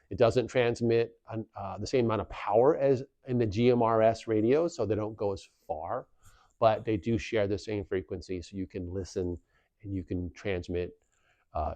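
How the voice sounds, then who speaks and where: A man's voice: fairly low pitch, darkish tone, no room sound, muffled recording. A man in his forties explaining things plainly and reassuringly, like an informal instructor on a how-to video, on a clip-on mic. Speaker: instructor presenting a community video series. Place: small plain office room, indoors. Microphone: lavalier clipped at the collar.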